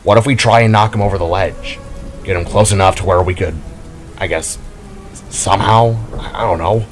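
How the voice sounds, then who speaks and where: A man's voice: low-pitched, moderate pace, soft background music, close to an everyday voice. A man voicing a nervous, tentative bald mechanic in an audio drama. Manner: tentative, speculating.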